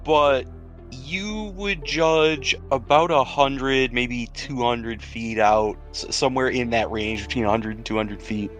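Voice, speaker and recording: A man serves as game master for a tabletop roleplaying game, narrating scenes and calling for moves. His voice sits at a medium pitch. Faint music plays behind him. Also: fairly even intonation, unhurried.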